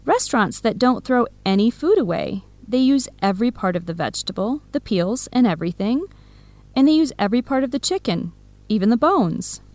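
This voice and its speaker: An actress voices an outspoken, supportive young woman in an educational radio drama. She speaks clearly and in measured phrases, with a moderately high pitch. The sound is very dry, with no room around it. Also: expressive, darkish tone, dry recording, natural voice. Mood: explaining. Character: supportive, outspoken young American woman friend and eco volunteer.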